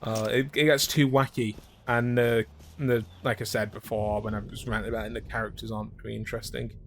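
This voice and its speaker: A man's voice: on the low side, level tone, a little fast, slight background noise. A man streaming a video game from home, chatting casually in a rambling, hesitant way, candid and blunt with casual swearing. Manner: rambling, hesitant, full of fillers and restarts. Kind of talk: casual chat while playing a video game.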